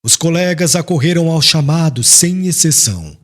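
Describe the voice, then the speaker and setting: A man's voice: on the high side, lively intonation, moderate pace. A man with a Brazilian accent reading a literary audiobook in a formal, dramatic storytelling manner. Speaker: audiobook narrator. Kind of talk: scripted literary narration. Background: music.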